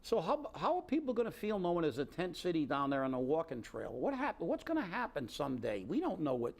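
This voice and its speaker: A man's voice: fairly high pitch, huge pitch swings, a little fast, creaky. A man co-hosting a local political talk show, ranting in an indignant, sarcastic way and rambling with restarts. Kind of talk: opinionated casual political chat.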